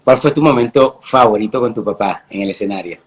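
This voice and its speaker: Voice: medium pitch, a little fast. An interview host asking questions and reacting with short, encouraging remarks.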